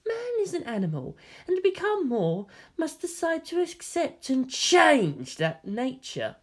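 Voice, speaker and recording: A silky young male schemer, acted out by a story reader speaks softly and with condescension. His pitch swoops as he lectures, sounding smug and faintly inhuman in a dramatic fiction reading. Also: wildly swooping pitch, measured pace, heavily acted. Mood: smug persuasion.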